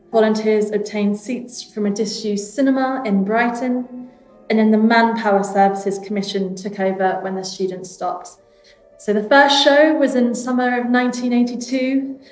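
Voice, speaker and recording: A British woman narrates an audio tour in a medium-pitched voice. The room around her is clearly echoey, and faint music sits behind her. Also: a little mellow. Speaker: theatre arts manager and guide.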